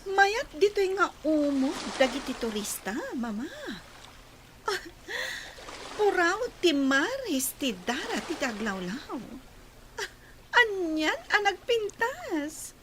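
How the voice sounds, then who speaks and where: A woman acting as a gushing young daughter in an audio drama. Her voice is extremely high and lilting, slow and full of wonder, with clear noise behind it. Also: sing-song, full character voice. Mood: delighted, awed. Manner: gushing, wonderstruck, drawn-out delivery. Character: delighted young daughter marvelling at an island. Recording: clearly noisy background.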